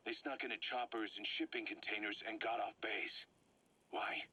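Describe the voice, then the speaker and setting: Stern, husky military commander. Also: male voice, lowish voice, steady tone, normal speed, low-quality recording. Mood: grave, troubled. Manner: grave, clipped, forceful briefing. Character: stern, embittered military commander, protective of child soldiers. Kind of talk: dramatic video game voice acting.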